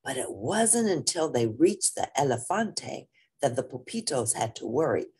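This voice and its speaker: A woman reads a children's storybook aloud with playful, theatrical emphasis. Her voice is low and husky, and it swings widely in pitch as she takes her time over the phrases. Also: very animated, darkish tone. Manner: theatrical, slow, deliberate delivery with playful emphasis. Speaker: storybook reader. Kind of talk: expressive read-aloud of a children's picture book.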